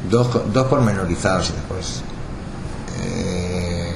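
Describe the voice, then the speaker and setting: A middle-aged man giving a documentary interview as a retired intelligence agent, speaking haltingly with many restarts and fillers. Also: deep voice, creaky. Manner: halting, restarts words, frequent filler "o sea". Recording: echoey room with background noise.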